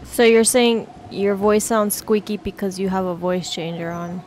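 A woman's voice: mid-range voice, steady tone, moderate pace, darkish tone. A woman talking casually with teammates in a game voice chat. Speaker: gaming video host. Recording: game voice chat over music.

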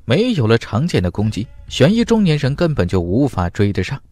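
Male fantasy audiobook narrator, dramatic and very varied in pitch, dry close sound. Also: huge pitch swings, a little fast, darkish tone, no echo, faint music behind.

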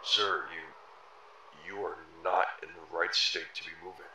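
An actor playing a loyal, earnest younger government agent in a horror drama, with a wry, hesitant manner. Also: male voice, sits low, steady tone, measured pace, bright, forceful tone, exaggerated voice. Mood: uneasy, earnest. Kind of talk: analog horror drama acting. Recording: echoey room with faint noise.

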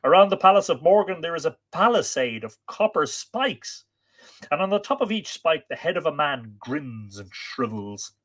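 A man's voice: medium pitch, big pitch swings, measured pace, a bit bright. Guy doing a queenly storybook lady, dramatic and pleading, warning her lover, lots of up and down. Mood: urgent pleading. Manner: imperious, tender, dramatic.

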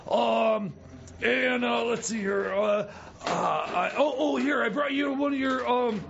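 A man's voice: very high-pitched, measured pace, vocal fry, heavily acted. A man playing a frazzled, bumbling buddy on a prison visit in comedy radio theater, stammering and breaking off sentences. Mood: stammering, helpful. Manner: stammering, hesitant, scattered, constant broken-off starts. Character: Jeb, frazzled bumbling male friend visiting jailed pal. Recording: muffled lo-fi audio with loud noise.

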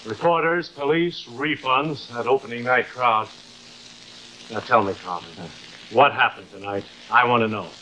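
Worried middle-aged man, forceful bright voice, brisk, old TV drama, faint hiss. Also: mid-range voice, low-quality recording. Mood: concerned, insistent. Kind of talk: 1950s television drama acting. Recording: hissy old television soundtrack.

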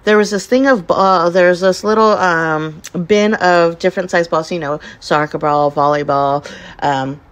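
A woman's voice: fairly low pitch, steady tone, unhurried. A woman podcast host telling a casual first-person story in a chatty way, acting out remarks people made. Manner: chatty, acts out quoted remarks.

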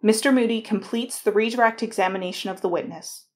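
A woman's voice: medium pitch, fast talker. A woman narrator reading formal scripted narration of court proceedings.

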